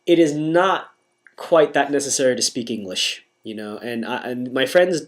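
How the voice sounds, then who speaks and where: Young American guy, mid-pitch, casual solo vlog delivery, halting with frequent restarts. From United States. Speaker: vlogger. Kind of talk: casual monologue to camera.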